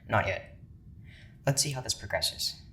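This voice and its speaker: An actor playing a stern, analytical scientist in charge, speaking in a measured clinical way in an audio drama. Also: male voice, lively intonation, sharp tone. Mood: commanding. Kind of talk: naturalistic audio drama acting. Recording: clear background noise with rumble.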